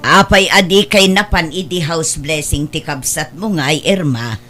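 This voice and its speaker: A woman's voice: low-pitched, moderate pace, over music, natural voice. A woman playing a frank older aunt who counsels and admonishes her niece in a family radio drama. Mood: admonishing. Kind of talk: Ilocano radio-style family drama acting.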